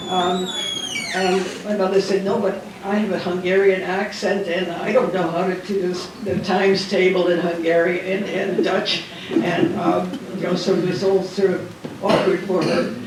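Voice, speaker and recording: An older man giving a rambling, halting talk about his childhood, with many restarts and fillers, recorded in a large hall at a dinner event. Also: high voice, little pitch movement, background noise. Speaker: guest speaker. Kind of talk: personal wartime memoir talk. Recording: echoey hall heard from a distance.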